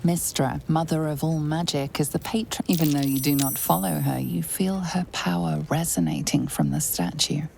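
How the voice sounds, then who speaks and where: A calm, mysterious fantasy game narrator, very low and gravelly at the edges. She speaks in a hushed, measured, solemn way, with faint ambience behind her. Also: a little hiss or hum, full character voice. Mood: hushed, reverent. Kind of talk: scripted second-person game narration.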